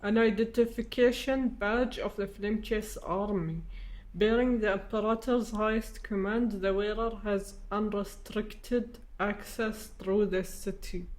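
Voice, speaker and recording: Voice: mid-range voice, steady tone, unhurried, low-quality recording. A game streamer reading on-screen text aloud and adding casual comments. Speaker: video game streamer playing a story quest. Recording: loud game music behind voice.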